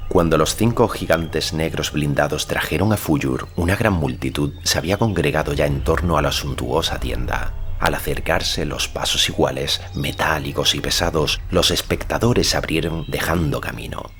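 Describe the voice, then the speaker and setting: A man narrating a literary audiobook in a measured, solemn storytelling manner. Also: rumbling low, fairly bright tone, a little hiss or hum. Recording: faint music behind the voice.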